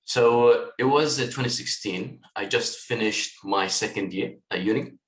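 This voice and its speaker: A man in his late twenties from Lebanon, raised in Kuwait, speaks with a fairly low voice. He talks in a relaxed, thoughtful way with plenty of fillers. His call audio carries a roomy echo. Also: moderate pace. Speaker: guest, software tech lead. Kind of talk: interview conversation.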